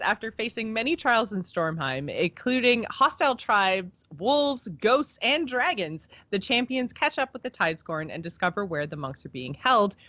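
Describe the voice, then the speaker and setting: A woman's voice: mid-range voice, fairly bright tone, dry recording, lo-fi sound. A woman co-hosting a podcast, talking through game lore in a chatty, informal way. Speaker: podcast co-host. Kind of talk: casual game lore discussion and recap.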